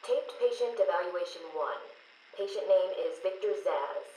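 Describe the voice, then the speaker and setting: A woman's voice: lowish voice, expressive, mellow, natural voice. Game role female actor: clinical psychiatrist, professional and composed under pressure, echoey taped interview with light noise. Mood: detached, professional. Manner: measured, professional, probing. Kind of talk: video game voice acting, clinical session tapes.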